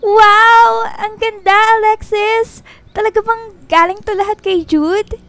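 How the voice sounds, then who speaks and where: Radio drama girlfriend: young, insecure, very high girlish voice, expressive. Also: takes it slowly, full character voice. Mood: delighted.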